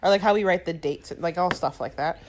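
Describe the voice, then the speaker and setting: A young woman co-hosting a podcast, telling stories in a casual, animated way and laughing often. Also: on the low side, brisk, slight background noise. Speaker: podcast co-host. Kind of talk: casual chat.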